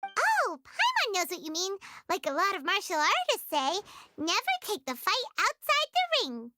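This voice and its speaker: A woman's voice: very high voice, huge pitch swings, normal speed, pushed and bright, dry recording, heavily acted. A woman voicing a small, perky, childlike fairy companion in a video game, chirpy and inquisitive. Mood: cheerful, curious. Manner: chirpy, inquisitive, refers to self in third person.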